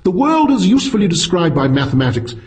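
A man's voice: high-pitched, sing-song, fast talker, darkish tone, pitched above the performer's own voice. A man voicing an intense, reedy philosophy professor who lectures with smug energy, in an audiobook story. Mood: earnest lecturing.

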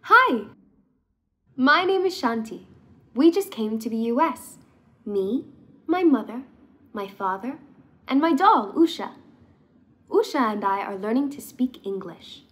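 Woman as an earnest, high-voiced little girl. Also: lively intonation, unhurried, long pauses, a bit bright. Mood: earnest, friendly. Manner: earnest, simple childlike storytelling. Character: sweet young immigrant girl with her doll.

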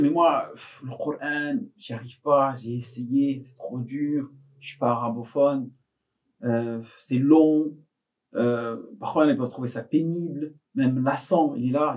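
A man's voice: mid-range voice, a little mellow. A man co-hosting a podcast who asks leading questions and rephrases what his guest says. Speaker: podcast co-host. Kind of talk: casual podcast interview. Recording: voice from a distance.

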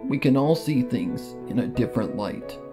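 Story narrator, solemn, dramatic, first-person fiction reading, pausing, background music. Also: medium pitch, expressive. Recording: music under the voice.

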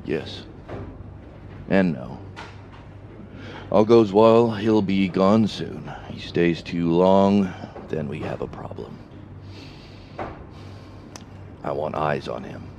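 A man playing a stern, suspicious spaceport security boss in a science fiction audio drama, slow and menacing in manner. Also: sits low, expressive, darkish tone, muffled recording, a little hiss or hum, exaggerated voice. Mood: warning.

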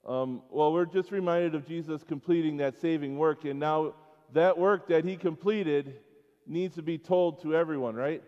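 Higher-voiced American man preaching in echoing church. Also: normal speed. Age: middle-aged. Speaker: school chapel speaker. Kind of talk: informal children's sermon. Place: church sanctuary, speaking before schoolchildren. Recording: dull, echoing church hall pickup.

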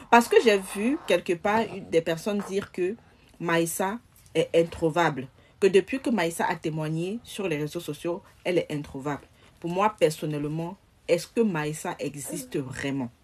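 A woman's voice: lowish voice, a little hiss or hum. A young woman giving emphatic casual commentary to her viewers into a phone camera. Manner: emphatic, addresses viewers directly. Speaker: social media commentator. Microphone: phone camera close up.

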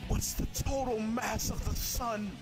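A woman voicing a loud, rambling, broken-down villain in an improvised comedic game dub, with a bombastic, drawn-out delivery. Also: on the high side, steady tone, lightly acted. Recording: loud game music underneath.

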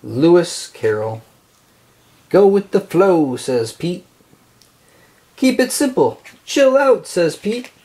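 A man reading a children's picture book aloud, phrasing it deliberately and expressively for young listeners. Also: high voice, big pitch swings, darkish tone.

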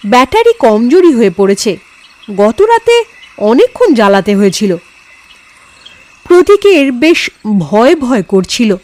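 A woman storyteller giving an expressive, dramatised reading of a scripted ghost story. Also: a little high, unhurried, pauses often.

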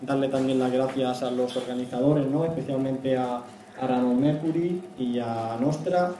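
A man's voice: medium pitch, steady tone, moderate pace, dark tone. A man in his thirties from Spain giving a conversational public talk into a handheld mic, often tagging phrases with a questioning "no?". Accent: Spanish from Spain. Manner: conversational, frequent "¿no?" tags. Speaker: guest speaker, YouTube analyst. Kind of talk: semi-prepared public talk. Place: small indoor meeting room. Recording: echoey room, distant mic, faint noise.

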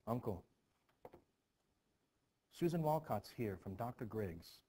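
A man reading the part of a preacher's composed young niece, giving measured advice in a stage play. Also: on the low side, animated, warm tone, a little hiss or hum. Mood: concerned, composed.

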